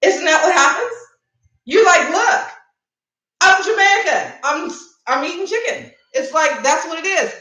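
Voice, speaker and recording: Woman livestream host, chatty and playful, high voice, roomy echo. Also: animated, a little slow, sharp tone. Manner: chatty, playful, addresses viewers directly. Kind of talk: casual livestream chat with promotions.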